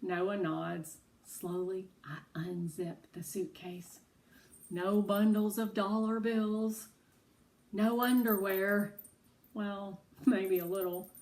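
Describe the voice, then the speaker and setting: A woman's voice: mid-range voice, takes it slowly. A woman reading aloud, slightly distant. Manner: animated, dramatic storytelling with acted dialogue. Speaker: read-aloud storyteller. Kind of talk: expressive children's audiobook read-aloud.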